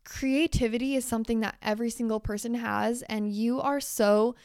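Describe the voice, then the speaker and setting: A woman's voice: a little high, fairly even intonation, normal speed, darkish tone, smooth, no echo, clean studio sound. A woman hosting a self-help podcast, talking in an encouraging way and listing ideas with small fillers. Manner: encouraging, list-like delivery with fillers. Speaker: podcast host.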